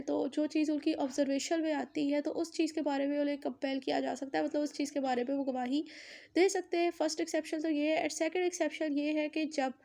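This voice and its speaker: A woman's voice: high-pitched, a little mellow. A woman with a Pakistani accent giving an explanatory law lecture, mixing in English legal terms and restating each point in a didactic way. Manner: didactic, repeats and rephrases points.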